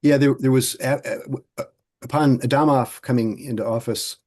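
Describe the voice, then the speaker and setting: Halting, thoughtful male interviewer. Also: medium pitch, steady tone, darkish tone. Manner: thoughtful, halting, many restarts. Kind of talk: oral history interview.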